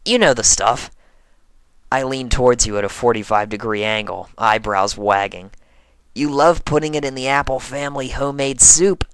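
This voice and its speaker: A man voicing a brash, lovestruck young female pegasus pony in a strained, raspy voice for a fan fiction reading. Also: mid-range voice, fairly bright tone, no echo, lightly acted, low-quality recording. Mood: playful, teasing. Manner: halting, gushing, smitten. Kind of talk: first-person fan fiction reading in character.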